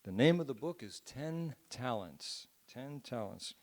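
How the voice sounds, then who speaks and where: A man's voice: mid-range voice, animated, a little slow. A man hosting a church health seminar, recommending a cookbook in a chatty way with filler words. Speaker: seminar host. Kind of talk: informal presentation at a church seminar. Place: church hall.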